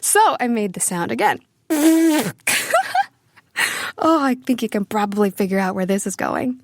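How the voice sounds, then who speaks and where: An actress playing a tender, playful young woman who misses her family, in a confiding, expressive audio drama monologue. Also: medium pitch, unhurried, slight background noise. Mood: playful, amused. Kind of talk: intimate first-person audio drama monologue.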